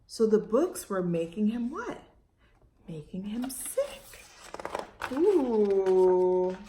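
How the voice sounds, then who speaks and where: Woman, children's storytime reader. High voice, very lively pitch swings, expressive, poses questions to young listeners. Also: echoey room. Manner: expressive, dramatic pauses, asking listeners questions. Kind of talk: animated read-aloud for young children.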